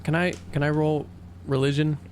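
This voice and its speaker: A man playing a tabletop game, thinking aloud in halting, casual in-character discussion. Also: fairly high pitch, level tone, a little mellow. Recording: faint hum, slight background noise.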